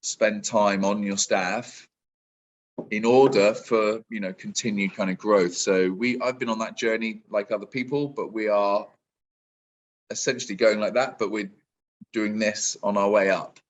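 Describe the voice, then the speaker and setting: A man in his forties, a business coach, answering interview questions in a relaxed, rambling way with many restarts over a video call. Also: lowish voice, unhurried, highs cut off. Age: 40s.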